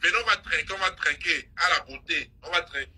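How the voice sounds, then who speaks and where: Bright, raspy woman in a scolding rant. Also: deep voice, fast talker. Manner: scolding, mocking, insistent repetition. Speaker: social media live host. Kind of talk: gossip call-out rant on a live stream.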